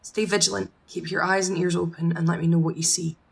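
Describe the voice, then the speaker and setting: A woman's voice: on the low side, little pitch movement, quick pace, gravelly, slightly rough. A woman playing a tough, no-nonsense task force leader in an amateur audio drama, businesslike and commanding. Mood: grave, commanding.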